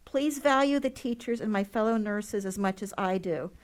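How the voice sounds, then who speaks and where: A middle-aged woman speaks into a podium microphone at a public meeting, pleading earnestly and emotionally. Her voice is of ordinary pitch for a woman and her delivery is heartfelt. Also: moderate pace. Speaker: school nurse giving public comment. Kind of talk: public comment at a school board meeting.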